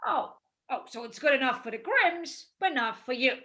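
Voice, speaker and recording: Woman doing a dopey gloating demon henchman, high bright voice, sneery n goofy, stage play. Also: expressive, normal speed. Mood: mocking. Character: gleeful, dim, sneering demon sidekick Heffalump. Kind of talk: livestreamed stage play reading.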